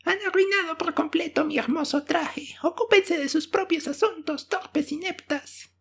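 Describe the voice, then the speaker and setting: Rude little fairy-tale dwarf: very high pitch, indignant scolding, ungrateful temper. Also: male voice, moderate pace, vocal fry, fairly bright tone, full character voice. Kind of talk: bedtime fairy-tale character voice.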